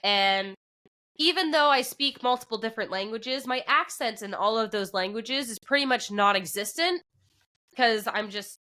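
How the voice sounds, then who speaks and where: Chatty English Canadian woman, bright tone. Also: fairly high pitch, normal speed. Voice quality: drops P sounds at the start of words. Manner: chatty, animated storytelling. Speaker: podcast co-host. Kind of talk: casual chat.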